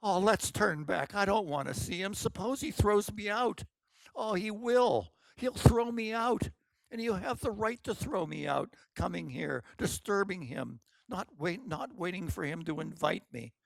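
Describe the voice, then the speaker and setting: A man's voice: high voice, lively intonation, measured pace, gravelly, no echo, pitched above the performer's own voice, full character voice, poor audio. A man voicing a timid, frightened older woman who pleads anxiously.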